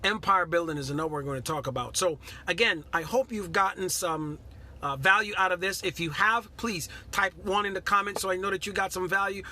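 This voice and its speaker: A man's voice: high voice, a little fast, bright, forceful tone. A middle-aged man from Guyana giving a casual motivational talk on a live stream, earnest and repetitive, greeting viewers by name.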